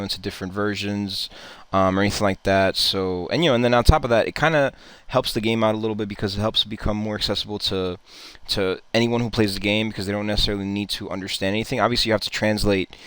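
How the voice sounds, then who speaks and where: A man's voice: lowish voice, fairly bright tone, clear, resonant tone, dry recording. A man hosting a gaming channel, giving casual, rambling reaction commentary full of fillers and repeated words.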